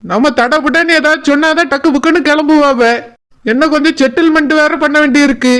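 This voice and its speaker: Man acting a high-voiced, dutiful village son. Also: steady tone, brisk, a bit bright. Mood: busy, obliging. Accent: Kanyakumari Tamil. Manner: obliging, practical. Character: dutiful, practical adult son organizing transport. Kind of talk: village cartoon drama acting.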